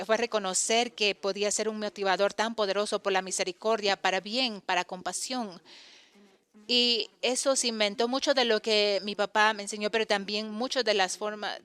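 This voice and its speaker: A woman giving a voice-over interpretation of a conference talk, halting often to correct and restart her phrases. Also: mid-range voice, fairly even intonation, a bit bright, clean tone.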